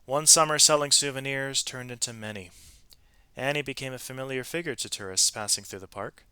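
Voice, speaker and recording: A man narrating a children's story aloud in an expressive, animated way, with varied emphasis from phrase to phrase. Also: mid-range voice, a little fast, clean tone, dry recording.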